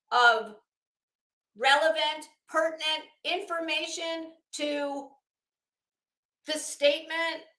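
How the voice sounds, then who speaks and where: A woman with a bright, forceful, slightly high voice reads a document aloud and comments on it in a sardonic, incredulous way. Her delivery is unhurried and blunt, with casual swearing. The recording sounds roomy and echoing. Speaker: host of commentary show. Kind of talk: reading a court document aloud with running commentary.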